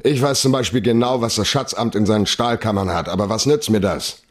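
An actor playing a tough, curt private detective in a radio crime drama, blunt and impatient, with a husky voice. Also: male voice, lowish voice, brisk. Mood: impatient, threatening.

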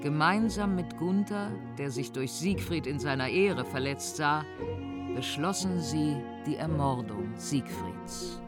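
A woman narrating a scripted radio drama in a measured, solemn manner. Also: low-pitched, animated. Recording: string music behind the voice.

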